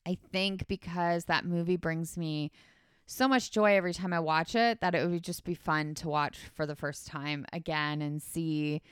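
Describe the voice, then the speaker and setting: A woman's voice: deep voice, steady tone, normal speed, smooth, no echo, studio quality. A young woman co-hosting a podcast, chatting casually about movies, with lots of fillers and frequent laughs. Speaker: podcast co-host. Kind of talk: casual chat.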